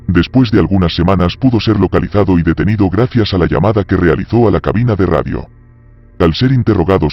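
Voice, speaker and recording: A man reading a scripted true-crime narration as a voice-over. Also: low-pitched. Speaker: video channel narrator. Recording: muffled lo-fi voice-over with music.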